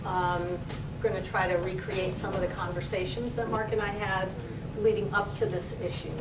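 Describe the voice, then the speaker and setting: A woman English professor talks through her subject informally and corrects herself as she goes. She is picked up from some distance in an echoey library room. Steady noise and a low rumble sit behind her voice. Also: normal speed. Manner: informal, thinking aloud with frequent self-corrections. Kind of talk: conversational lecture. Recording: roomy, distant and noisy, with rumble.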